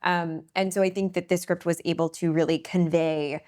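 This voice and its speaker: Woman hosting a panel chat, lowish voice, halting, lots of "and, and". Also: flat delivery, darkish tone. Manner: thoughtful, restarts with repeated "and, and". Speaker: host.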